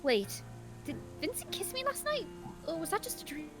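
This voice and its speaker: A woman reading aloud as a shy, flustered teenage schoolgirl in a visual novel, expressive and earnest. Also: extremely high pitch, moderate pace, over music, voice pushed up.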